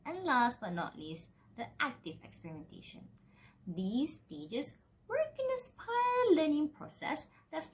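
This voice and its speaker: A woman's voice: high-pitched, low-quality recording. A young woman giving a scripted academic presentation as a student, in a formal manner with emphatic enunciation. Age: young adult.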